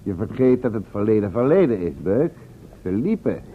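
An actor playing a polite, cautious man who asks questions in a measured, courteous way, in a radio play. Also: fairly low pitch, very animated. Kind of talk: Dutch radio play acting. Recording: tinny band-limited old radio recording, faint noise.

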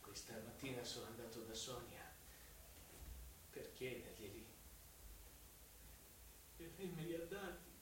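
An actor playing a broken, ashamed drunkard father in a hushed, confessional radio drama monologue. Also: mid-range voice, lively intonation, unhurried, long pauses, natural voice. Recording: hissy, very echoey, distant from mic.

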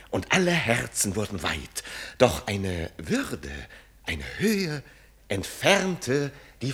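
An actor playing a witty, ironic doctor who mentors a prince, urbane and teasing, in a German radio play. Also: male voice, medium pitch, husky. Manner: urbane, teasing, theatrical with pointed hesitations.

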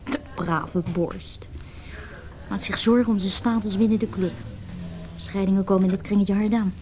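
A woman's voice: medium pitch, fairly even intonation, normal speed, a little mellow, close to an everyday voice. An actress playing a brash, cynical woman in her early thirties in a Dutch radio play, exclaiming, teasing and scornful. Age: 30s. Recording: radio play with clear background noise.

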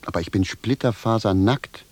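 An actor playing a confused, querulous, plain-spoken village shoemaker in a theatrical radio drama. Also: male voice, on the low side, moderate pace, darkish tone, no room sound, lightly acted. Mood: indignant. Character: bewildered simple village shoemaker, risen from dead, indignant.

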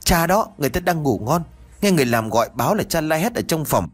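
A sharp-tongued young mistress snaps orders and whines, as a man plays her in a spoken horror tale. The husky voice leaps around in pitch. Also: very animated, quick pace, exaggerated voice. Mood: whiny, worried. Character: sharp-tongued, cruel young daughter of the house.

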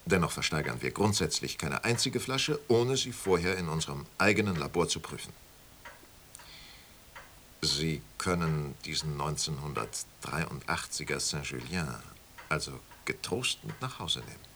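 A smug wine auction magnate, suave and sure of himself. The voice sits very low but swings widely with a bright, sharp edge. It is a radio play scene with faint noise behind it. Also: male voice, expressive, moderate pace, heavily acted. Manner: suave, self-assured, salesman-like.

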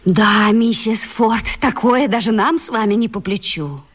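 An actress in a radio vaudeville playing a prim, curious gentlewoman, polite and quizzical in a theatrical manner. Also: fairly high pitch, measured pace. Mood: thoughtful. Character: prim, curious English gentlewoman of classic comedy.

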